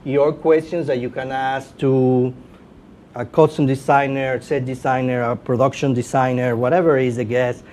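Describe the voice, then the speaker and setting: A middle-aged man with a Venezuelan accent tells his answers as an interview guest. His pitch is average, and he speaks conversationally with informal asides. There is noticeable low rumbling noise in the recording. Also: flat delivery, moderate pace, a little mellow. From Caracas, Venezuela. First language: Spanish. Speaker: guest, professor and podcast host.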